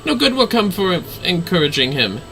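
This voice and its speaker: Man acting a courtly, earnest manservant, bright voice. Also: high voice, big pitch swings, normal speed, soft background music, exaggerated voice, rough recording. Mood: earnest, worried. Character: formal, dutiful manservant swordsman, gravely concerned.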